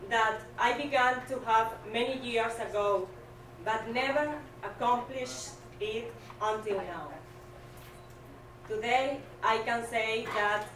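Venezuelan woman reading her grad speech real slow, kinda high voice, echoey room, mic a bit far off. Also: fairly bright tone, a little hiss or hum. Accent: Venezuelan Spanish. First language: Spanish. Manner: slow, careful, earnest reading. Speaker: graduating student. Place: small hall with curtain backdrop. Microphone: stand mic, filmed from a distance.

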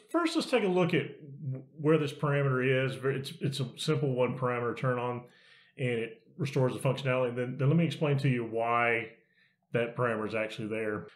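A man in his forties giving a casual how-to talk on camera from his home office, relaxed and conversational, often restarting his sentences. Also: mid-range voice, fast talker. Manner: relaxed, conversational with frequent restarts and repeated words. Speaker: software consultant. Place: home office with bookshelves, indoors. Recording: roomy echoey small room.

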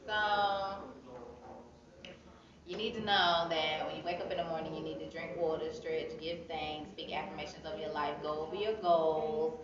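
Low-pitched American woman in an echoey room. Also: little pitch movement, moderate pace. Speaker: interview guest, poet and author. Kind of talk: casual chatty interview answers. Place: indoor arts center room, seated. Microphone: phone camera a few metres away. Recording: echoey room, distant muffled phone-camera sound, chatter.

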